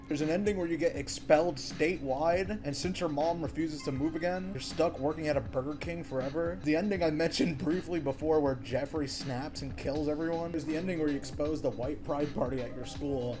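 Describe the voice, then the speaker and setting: A man giving casual, informal commentary on a video game as a reviewer. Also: fairly high pitch. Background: music.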